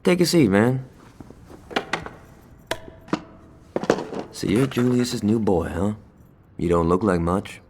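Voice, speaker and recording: An actor playing a cocky, hot-tempered young gang lieutenant in a video game, brash and sarcastic, with a lot of swearing. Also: male voice, lowish voice, expressive, normal speed, background noise. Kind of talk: video game cutscene acting.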